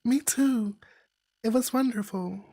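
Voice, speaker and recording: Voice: medium pitch, slow pace, mellow, no room sound, pitched above the performer's own voice. A performer reading a visual novel voices a shy, anxious young woman in love, hesitant, earnest and apologetic. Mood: tender.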